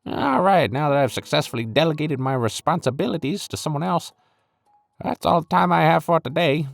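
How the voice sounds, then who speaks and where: A man's voice: fairly high pitch, fairly quick, gravelly, dry recording, faint music behind. A man playing a flippant, smug secret schemer in a comic audio roleplay, stammering, dismissive and conspiratorial. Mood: breezy, self-satisfied. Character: flippant, flustered secret-cabal schemer, smug and easily bored.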